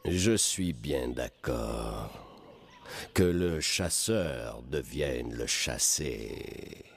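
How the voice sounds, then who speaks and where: A man voicing a huge, grave and menacing cartoon jungle animal, gravelly and ponderous in delivery. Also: lively intonation, extremely slow, a little hiss or hum, heavily acted. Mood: grim, vengeful. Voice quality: gravelly, rumbling. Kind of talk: dubbed cartoon acting.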